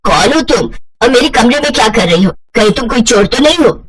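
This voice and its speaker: A woman's voice: a little high, expressive, fairly quick, husky, far from the mic. A woman voicing a haughty, shameless middle-aged mother-in-law in a moral story, snooty and dismissive. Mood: suspicious, annoyed.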